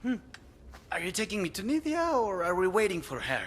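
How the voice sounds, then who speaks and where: An actor playing a scornful, nihilistic cartel captive in a video game, grandiloquent and condescending. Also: male voice, high-pitched, sing-song, a little fast, heavily acted. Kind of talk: video game cutscene acting.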